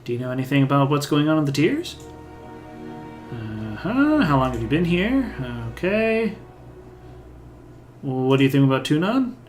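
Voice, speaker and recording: A man's voice: on the high side, very animated, normal speed, long pauses, faint music behind. A man hosting a let's play, narrating a video game in an upbeat, chatty way.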